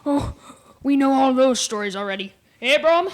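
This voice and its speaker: A young female performer playing an excitable, gossipy villager in a radio play. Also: high-pitched, normal speed. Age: young teen. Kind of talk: stage radio play acting.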